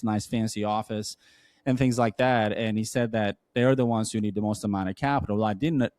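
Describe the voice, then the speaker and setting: A Turkish-accented man, not a native English speaker, with a fairly low voice, recorded dry and close. He tells his story as an interview guest, earnest and halting, with restarts and "uh" fillers along the way. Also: darkish tone, no echo. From Turkey. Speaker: podcast guest, entrepreneur. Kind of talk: conversational storytelling.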